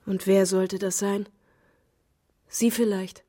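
Anxious fragile woman, muted dark timbre, husky, guarded, radio drama. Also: medium pitch, fairly even intonation, takes it slowly. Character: fearful, fragile, pleading woman, guarded and haunted. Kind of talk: German radio drama acting.

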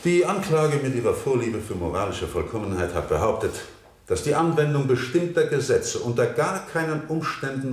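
An actor as an eloquent defense lawyer, echoing courtroom. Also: male voice, medium pitch, close to an everyday voice. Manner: rhetorical, solemn, emphatic, clearly articulated. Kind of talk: 1970 German radio play acting, courtroom oratory. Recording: roomy studio courtroom echo of 1970 radio.

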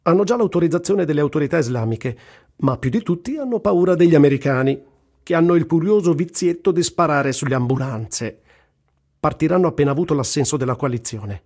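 An actor playing an Italian journalist volunteering in a war, narrating in the first person in a radio reading, earthy, tender and wry, with literary delivery and blunt asides. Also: male voice, on the high side, expressive, brisk, a little mellow, husky. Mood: wry, brisk. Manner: dramatic literary recitation with blunt colloquial asides.

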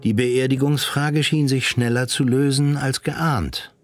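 A man narrating a literary radio drama in a measured storytelling way. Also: mid-range voice, fairly even intonation, over music.

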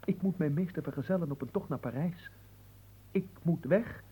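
A mellow, theatrical lovelorn manservant. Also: male voice, a little high, moderate pace, husky, low-quality recording. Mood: sorrowful. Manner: melodramatic, poetic, stammering. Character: lovelorn young manservant, florid, evasive, theatrically sorrowful. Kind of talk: radio play acting.

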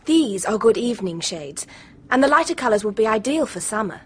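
A woman's voice: a little high, very animated, brisk, slightly rough. An actress playing a polite, guarded young British woman in a radio drama, with a prim manner. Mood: obliging. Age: young adult. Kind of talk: BBC radio drama acting. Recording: background noise on radio recording.

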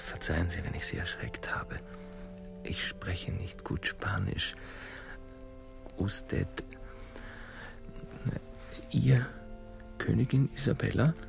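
A man's voice: on the low side, slow, creaky, pauses often, in a whisper, music in the background, heavily acted. A man playing an eager, awkward, long-suffering Italian seafarer in a comic radio play. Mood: polite, hesitant.

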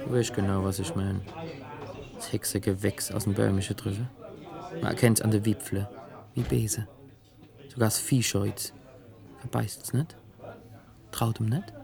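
An actor playing a poor, brooding country seer in a radio play, speaking southern German rural dialect in an insistent, incantatory, earthy way. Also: male voice, on the low side, fairly even intonation, measured pace, gravelly, pauses often, crowd noise. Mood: brooding warning.

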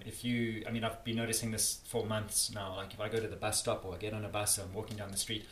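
A man's voice: on the low side, fairly bright tone. A man with a South African accent, answering interview questions earnestly and emphatically, often restarting his sentences. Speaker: interviewee, campaigner. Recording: echoey room with faint noise.

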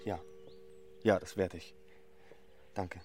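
A man's voice: deep voice, flat delivery. An actor playing a hesitant, polite, apologetic man in a naturalistic radio drama. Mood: contrite, hesitant. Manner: hesitant, halting.

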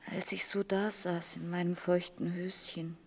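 An actress in a German radio play as a frail, motherly middle-aged woman, half-whispering haltingly in a breathy, intimate murmur. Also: on the low side, flat delivery. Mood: murmured, confiding.

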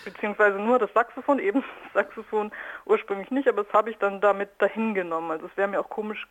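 A woman's voice: mid-range voice, steady tone, fast talker, poor audio. A woman in conversational interview talk, explaining her own work as a sound artist.

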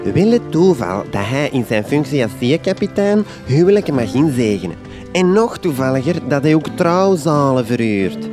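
A man's voice: medium pitch, very animated, moderate pace, music in the background, lightly acted. An actor with a Flemish accent playing a fussy, gushing fisherman in a radio drama. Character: fussy, gushing male fisherman planning his wedding.

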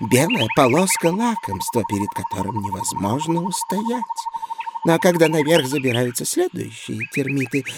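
Casting: keen field botanist, fairly high voice, emphatic explainer for children's audio play, clear jungle noise behind. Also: male voice, heavily acted. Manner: expository, emphatic. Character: enthusiastic male botanist explaining carnivorous plants. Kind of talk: children's educational audio drama. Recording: noisy jungle backdrop of birds, frogs.

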